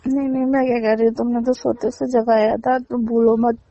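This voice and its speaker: A woman's voice: on the high side, little pitch movement, a little fast, mellow. A woman chatting casually and intimately on a phone call, in a teasing, mildly complaining manner. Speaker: romantic partner on a phone call. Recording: dull lo-fi phone call audio.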